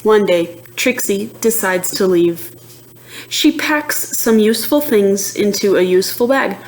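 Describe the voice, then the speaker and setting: Female teacher for a picture-book read-aloud: unhurried, deliberate, expressive, checks in with young listeners. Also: mid-range voice, a little hiss or hum, rough recording. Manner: slow, deliberate storytelling with questions to young listeners.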